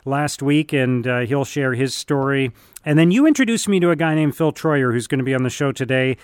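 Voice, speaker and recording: A man's voice: mid-range voice, a little fast, clear, resonant tone, no echo. A man hosting a radio show, chatty and upbeat, talking in long run-on sentences.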